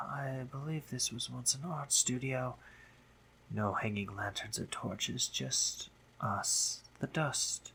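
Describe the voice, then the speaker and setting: A man playing a dominant, playful spider monster boy in a romantic roleplay audio drama, teasing and doting in deliberate phrases with breathy murmurs. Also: medium pitch, pushed and bright, heavily acted. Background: ambient sound effects.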